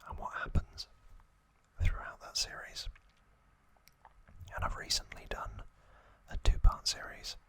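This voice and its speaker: A man hosting ASMR, narrating in a breathy, unvoiced whisper with a gentle, deliberate manner and frequent pauses. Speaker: ASMR channel host.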